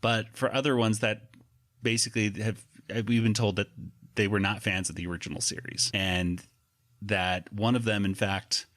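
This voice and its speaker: A man's voice: fairly low pitch, fairly even intonation, normal speed, fairly bright tone. A man in casual conversation, choosing his words in a careful, hesitant way. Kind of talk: casual conversational interview or discussion.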